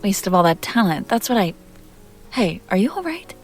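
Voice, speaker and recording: A woman's voice: medium pitch, very animated, normal speed, darkish tone, soft background music, natural voice. A woman playing a self-assured, playful vampire heiress in an intimate roleplay audio drama, talking directly to the listener. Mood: warm, encouraging.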